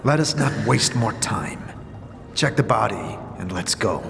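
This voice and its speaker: An actor playing a gruff, weary, terse male adventurer in a fantasy audio drama. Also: fairly low pitch, big pitch swings, unhurried, a bit bright, soft background music, heavily acted. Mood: brisk. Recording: reverberant hall with faint noise.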